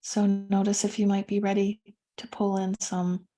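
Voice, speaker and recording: A woman leading a guided meditation as a teacher, speaking gently and soothingly with pauses between phrases. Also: mid-range voice, monotone.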